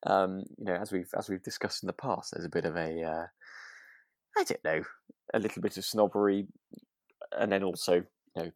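A man's voice: sits low, steady tone, moderate pace, long pauses, no echo, clean studio sound. A British man co-hosting a casual chat podcast, phrasing his thoughts tentatively. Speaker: podcast co-host.

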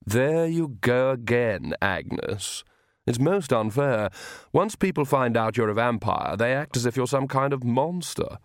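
A man's voice: medium pitch, animated, unhurried, no echo, clean studio sound, close to an everyday voice. A man voicing a suave, self-assured young male vampire with an urbane, patiently condescending manner for an audiobook. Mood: smooth, persuasive. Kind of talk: audiobook character voice.

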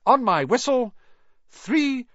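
A stern yet kindly schoolmistress of middle age, acted out by a male narrator, barking clipped commands in a high, swooping voice. It is a storybook character, recorded dry. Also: very animated, slow pace, a bit bright, no room sound, highs cut off, heavily acted. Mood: brisk, commanding. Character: brisk, stern middle-aged woman flying teacher, bossy yet kindly. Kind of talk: children's audiobook character voice.

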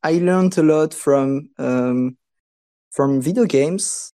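A man with a French accent, a guest on a casual podcast, unhurried and halting, laughing often. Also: fairly high pitch, dark tone, clear, resonant tone.

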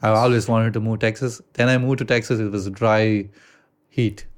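A man with an Indian accent hosting a casual podcast chat, talking loosely with frequent swearing and halting restarts. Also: lowish voice, fairly even intonation. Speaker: podcast host.

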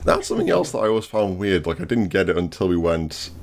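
A man's voice: low voice, big pitch swings, fast, vocal fry, slight background noise. An English man hosting a podcast, joking and asking long rambling questions in casual chat. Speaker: podcast host.

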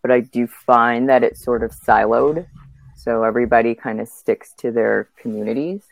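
A woman in her thirties, a guest chatting on a podcast. Her pitch is extremely low for a woman, with plenty of vocal fry. The sound is dry, with no room around it, and her delivery is conversational. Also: steady tone, normal speed, dry recording, poor audio. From Canada, born in Uganda. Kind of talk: casual conversational interview.

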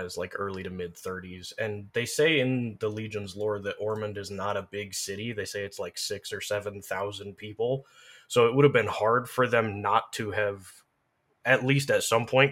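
A man with a somewhat low voice talks as a guest in an informal discussion. He is measured and thoughtful, often qualifying what he says. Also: a bit bright. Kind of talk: casual discussion.

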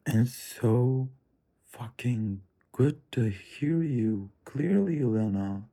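Guy rambling to himself, dark mellow voice, lotta fry, cursing and trailing off. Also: medium pitch, lively intonation, slow pace. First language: Turkish. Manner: musing, drifting, profane, softly trailing off. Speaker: streamer. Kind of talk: rambling self-talk monologue.

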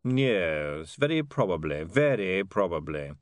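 A man performs a blustering lord for an audiobook. He sounds pompous and baffled, repeats himself testily and swings about in pitch, recorded close and dry. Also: big pitch swings, measured pace, dry recording. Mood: bewildered. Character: baffled, testy, blustering lord, easily swayed.

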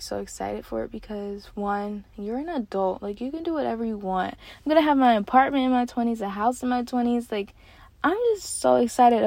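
A nineteen-year-old woman hosting her own podcast, chatting casually and excitedly with lots of fillers from a parked car. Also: a little high, gravelly, no echo. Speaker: podcast host. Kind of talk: casual solo podcast chat.

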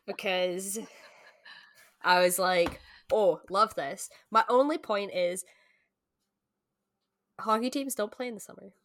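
A woman's voice: medium pitch, slow, long pauses, fairly bright tone, no room sound. A woman co-hosting a podcast, chatting casually about books and reading aloud. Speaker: podcast co-host.

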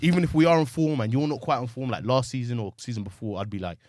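A man hosting a casual football podcast, slangy and animated, calling everyone bro. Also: mid-range voice, fast, no room sound, clean studio sound. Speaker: podcast host.

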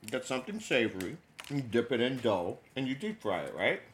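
A man's voice: medium pitch, brisk, slight background noise. A young man from New co-hosting a food podcast, chatting casually, telling stories animatedly and laughing often. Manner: animated storyteller, laughs often, gets loud when excited. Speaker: podcast co-host. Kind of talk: casual chat.

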